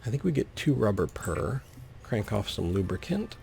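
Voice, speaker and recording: A man's voice: on the low side, sing-song, normal speed, creaky, a little mellow, slight background noise. A man giving casual, easygoing gameplay commentary, talking through his actions step by step. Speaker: let's play host.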